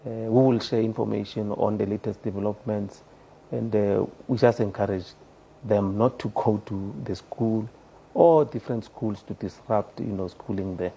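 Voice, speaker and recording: A man's voice: on the low side, normal speed, vocal fry, mellow, a little hiss or hum, poor audio. A South African man speaking as a studio guest on a panel, earnest and hesitant with many fillers. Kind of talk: panel discussion.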